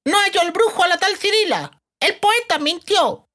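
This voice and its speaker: A man voicing a loud, sceptical ironmonger shouting scornfully in a fantasy audiobook. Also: very high voice, expressive, moderate pace, pitched above the performer's own voice, heavily acted.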